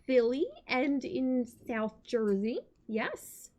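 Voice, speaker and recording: A woman's voice: a little high, slow, mellow. A woman playing a coaxing, soothing, somewhat patronizing vampire woman in a tabletop game. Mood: curious. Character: coaxing, motherly vampire woman, gently patronizing New Yorker.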